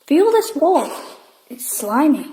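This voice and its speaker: A woman's voice: high voice, sing-song, slow pace, dark tone, long reverb tail, slight background noise, full character voice, rough recording. A woman playing a sceptical, curious wartime child who is blunt and challenging, in an audio drama. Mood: curious, uneasy.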